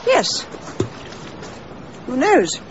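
A headstrong British amateur sleuth in a radio drama. She is assertive, impatient and wry, with a swooping, animated delivery. The sound is slightly dull, with some noise behind it. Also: high-pitched, drawn-out words, exaggerated voice.

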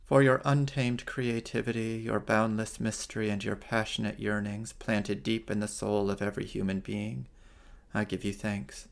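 Gravelly-voiced American priest, measured. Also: male voice, lowish voice, little pitch movement. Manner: slow, measured liturgical reading. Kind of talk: reflective homily and night prayer. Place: living room at home, indoors at night. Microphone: webcam on a video stream.

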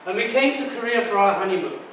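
A man in his sixties gives a talk with a fairly high voice, recorded from across a very echoey room. He is chatty and jokes with the audience, and faint room noise sits underneath. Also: fast talker, fairly bright tone, far from the mic. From Britain. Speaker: guest speaker. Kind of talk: public talk. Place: large echoey lecture room. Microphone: far camera mic.

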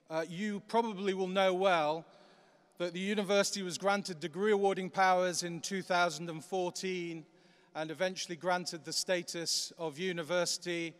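High smooth-voiced middle-aged guy, formal upbeat speech, cathedral echo. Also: a bit bright. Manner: formal, upbeat, projecting to a crowd. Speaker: university vice-chancellor. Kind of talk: ceremonial speech. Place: large cathedral. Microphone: lectern microphone. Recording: long cathedral reverb.

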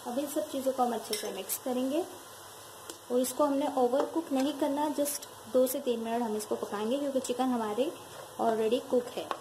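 A woman with a speech hosting a home cooking tutorial, calmly walking viewers through each step in her kitchen. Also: high-pitched, moderate pace. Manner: calm, instructive, addresses viewers directly. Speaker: cooking channel host. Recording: noisy home recording with background ambience.

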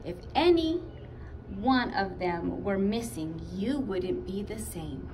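A woman's voice: mid-range voice, expressive, slow. A middle-aged woman reading a children's story aloud with expression. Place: block-walled school room, indoors. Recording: distant mic, clear background noise.

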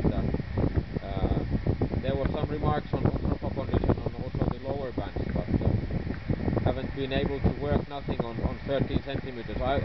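Male radio amateur, Finnish accent, halting delivery, muffled and very noisy field recording. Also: mid-range voice. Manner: halting, repeats words. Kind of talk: unscripted radio contact chat. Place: open viewing tower at night, outdoors.

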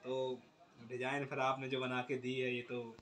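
A man's voice: mid-range voice, monotone, a little slow, fairly bright tone, echoey room. A young man chats as a returning customer, talking freely and praising the work. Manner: chatty and full of praise. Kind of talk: casual chat. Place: cluttered indoor workshop.

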